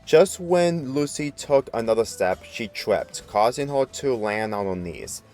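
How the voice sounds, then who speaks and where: A man's voice: mid-range voice, animated, unhurried, darkish tone, faint music behind. A man reading a story aloud as scripted narration. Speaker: fan fiction reader.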